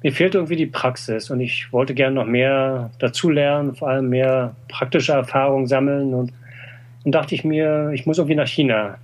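A man's voice: medium pitch, moderate pace. German-speaking man explaining with many fillers. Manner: explanatory, frequent "äh" and "ne?". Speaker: TCM practitioner. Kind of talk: conversational interview.

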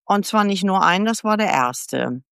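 Chatty older woman, smooth and close. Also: mid-range voice, expressive, normal speed, a bit bright, no room sound. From United States. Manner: candid, chatty storyteller who acts out past dialogue. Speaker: podcast guest. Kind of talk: casual life-story interview.

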